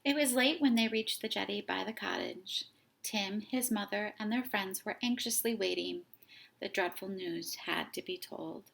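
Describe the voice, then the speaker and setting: A woman reading a children's picture book aloud, with dramatic, deliberate phrasing as a storytime host. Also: mid-range voice, creaky, a bit bright, a little hiss or hum. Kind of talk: expressive children's story narration.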